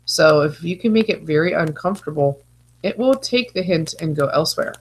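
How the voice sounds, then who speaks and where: A woman's voice: sits low, lively intonation, a little fast, breathy edge, low-quality recording. A woman with a stuffy, congested nose, giving a chatty online lecture as a teacher, full of playful asides. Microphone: video call.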